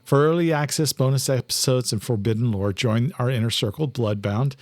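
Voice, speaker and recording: A man's voice: medium pitch, fairly quick, no echo, clean studio sound. A man reading scripted horror thriller narration as an audiobook narrator.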